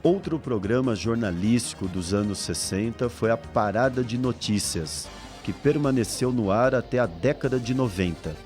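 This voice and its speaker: Brazilian man narrating over soft music. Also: mid-range voice, animated, normal speed. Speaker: documentary narrator. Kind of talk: scripted narration.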